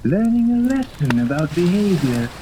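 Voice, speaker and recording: A man's voice: high voice, very animated, moderate pace. A man playing a haughty, tyrannical mad scientist with a pompous, verbose, imperious manner in 1930s radio serial style. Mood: pompous, scornful. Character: haughty, tyrannical male mad scientist commanding a secret island. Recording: noisy 1930s radio recording under rain ambience.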